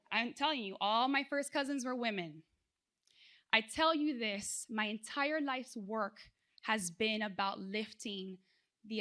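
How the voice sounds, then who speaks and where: Woman conference speaker from South Florida, lively, engaging, rather high voice. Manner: animated, engages audience with questions. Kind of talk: motivational keynote talk.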